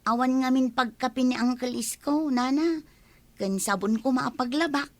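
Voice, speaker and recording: A high, meek Ilocano-accented woman's voice, played by an actress, hesitant and apologetic. She is a humble newcomer asking for favours in a village radio comedy, recorded close and dry. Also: moderate pace, darkish tone, no room sound. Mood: meek, dejected.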